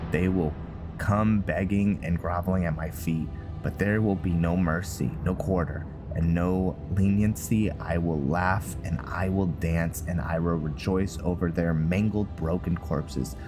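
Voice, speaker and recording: A man acts out a megalomaniac alchemist from a fantasy game, bitter and vengeful. He gloats theatrically at a slow, halting pace over loud music. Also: low voice, fairly even intonation. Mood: vengeful menace. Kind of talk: fantasy journal read aloud in character.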